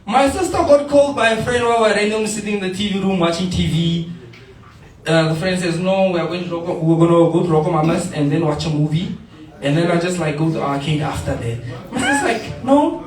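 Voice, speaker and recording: A man's voice: sits high, quick pace, recorded from a distance. A young man from Namibia telling stand-up stories on stage, often laughing through his own lines and repeating himself. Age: young adult. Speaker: stand-up comedian. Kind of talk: storytelling stand-up comedy. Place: bar stage before a live audience. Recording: echoey bar, heard from afar, loud crowd.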